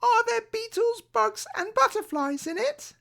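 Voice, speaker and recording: A woman voicing an excitable, stubborn, fretful little girl in a children's storybook, with childlike, insistent emphasis. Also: very high voice, measured pace, gravelly, pitched above the performer's own voice, exaggerated voice. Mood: stubborn, emphatic. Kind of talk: children's storybook read aloud.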